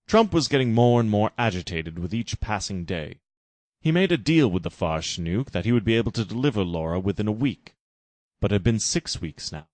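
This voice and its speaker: Deadpan low-voiced male storyteller. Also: no echo. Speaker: reader. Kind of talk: reading a parody fan story aloud.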